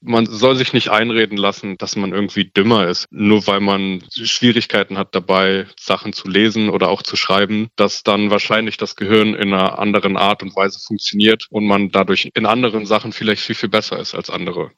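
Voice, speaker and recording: Young man in his twenties, low, flat delivery, measured, dry close sound. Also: no echo, muffled recording. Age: 20s. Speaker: student. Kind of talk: personal testimony.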